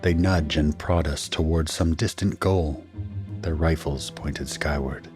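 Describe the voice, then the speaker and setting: An actor playing a shaken husband and father who narrates his ordeal in a grave, measured, first-person style for an audio drama. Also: rumbling low, over music. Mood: grave, tense. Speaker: narrator and main character.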